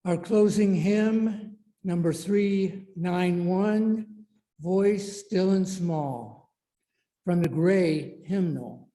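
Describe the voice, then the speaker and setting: Guy announcing stuff at church, highish voice, slow and soft toned, polite. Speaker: service leader.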